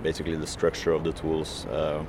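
A man's voice: rumbling low, completely flat delivery, brisk, noisy background. A man who works as a food courier, answering interview questions hesitantly with many fillers and restarts.

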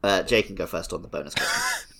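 A man's voice: deep voice, fast talker, gravelly, pushed and bright, a little hiss or hum. A man casually hosting a comedy quiz podcast, chatting and reading out questions.

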